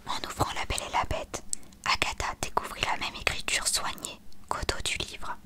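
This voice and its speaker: A woman reading a story aloud as scripted narration, expressive and hushed. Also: moderate pace, in a whisper. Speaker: audiobook reader.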